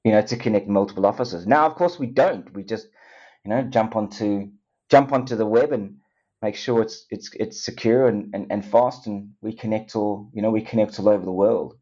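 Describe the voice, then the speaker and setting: Middle-aged South African exec, loose rambly interview talk, lo-fi audio. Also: male voice, lowish voice, moderate pace, mellow. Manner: rambling, many restarts and fillers, laughs easily. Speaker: company co-founder and CEO, guest. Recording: dull lo-fi sound.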